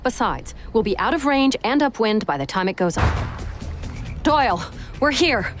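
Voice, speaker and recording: A tough female agent from a video game. She gives orders briskly with a teasing, self-assured edge. Music plays under the voice. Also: fairly high pitch, big pitch swings. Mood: confident, teasing. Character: tough, confident American female special agent. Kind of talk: video game cutscene acting.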